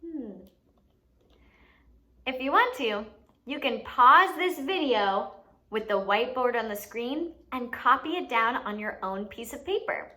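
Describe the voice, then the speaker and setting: A woman's voice: a little high, animated, a little slow, pushed and bright. A woman hosting a children's educational activity, playfully giving instructions and asking questions as if to young kids. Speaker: children's story time host and teacher.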